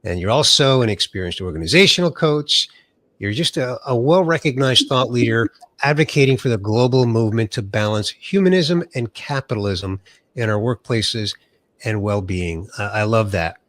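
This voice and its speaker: A man's voice: medium pitch, lively intonation, normal speed, fairly bright tone, no room sound. A middle-aged man from the USA hosting an interview podcast, sounding nasal from a cold and introducing his guest with enthusiasm. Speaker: podcast host.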